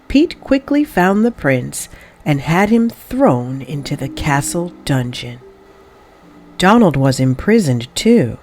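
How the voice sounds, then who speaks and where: A woman reading a children's story aloud as an expressive storybook narrator. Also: low-pitched, slight background noise. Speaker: storybook reader.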